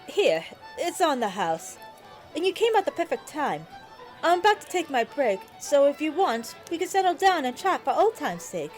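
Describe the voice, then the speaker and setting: A woman playing a kindly, plain-spoken pony barmaid in an audio drama, folksy and gently protective. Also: high voice, sing-song, normal speed, faint music behind. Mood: welcoming.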